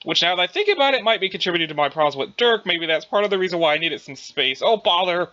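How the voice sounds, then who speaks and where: Bumbling, self-absorbed young male adventurer played by a man, wordy and folksy, bright animated delivery for audio drama. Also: high voice, fast, dull audio. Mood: sheepish, rambling. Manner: wordy, old-fashioned folksy exclamations, bumbling. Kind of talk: dramatized dialogue reading.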